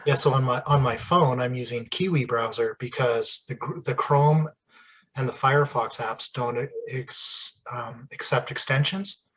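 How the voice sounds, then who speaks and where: Chatty, husky host man. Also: medium pitch, a little slow, a bit bright, rough recording. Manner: chatty, rambling, with asides and throat clearing. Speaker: podcast host. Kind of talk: casual interview chat.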